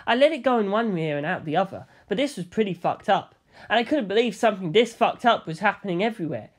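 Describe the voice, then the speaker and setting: Mid-pitched voice, highly varied intonation, expressive first-person storytelling, audiobook reader. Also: fast.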